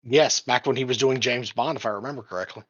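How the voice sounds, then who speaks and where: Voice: mid-range voice, quick pace. Podcast co-host on a muffled mic. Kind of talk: casual gaming podcast chat.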